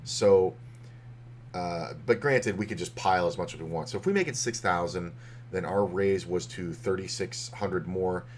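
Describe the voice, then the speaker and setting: Male streamer, deep and a bit hoarse, rambling casual poker commentary. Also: normal speed, a little hiss or hum. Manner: rambling, loose, with many fillers and restarts. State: slightly hoarse.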